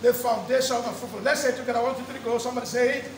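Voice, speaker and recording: A middle-aged man preaching a sermon from a lectern, drawing out key words slowly and repeating phrases as he builds to emphatic peaks. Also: very high-pitched, level tone. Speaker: pastor. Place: church hall with draped walls. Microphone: lectern microphone. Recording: echoey church hall, distant mic, background noise.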